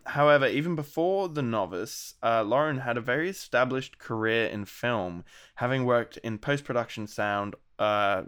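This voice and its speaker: An Australian man hosting a podcast interview, friendly and chatty, his voice gravelly from being sick. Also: on the low side, fairly bright tone. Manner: friendly, chatty, many ums. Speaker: podcast host.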